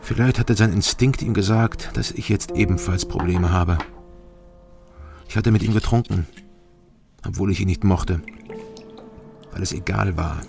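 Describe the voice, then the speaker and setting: A gravelly, weary investigator. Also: male voice, low-pitched, unhurried, long pauses, over music. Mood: bleak, reflective. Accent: German. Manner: terse, sober, world-weary. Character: weary, guarded East German ex-criminology student turned investigator. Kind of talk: radio crime drama acting and narration.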